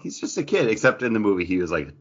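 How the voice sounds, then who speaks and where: A man with a low voice chats as a podcast host. His delivery is conversational, rambling and enthusiastic. The recording is a little dull and band-limited. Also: very animated, racing. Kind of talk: casual pop culture chat about comics.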